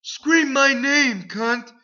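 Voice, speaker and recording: A man's voice: extremely high pitch, extremely slow, sharp tone, voice pushed up, full character voice. A man voicing a domineering, cold and cruel male snowman who barks commands with a sneer. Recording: dull lo-fi recording.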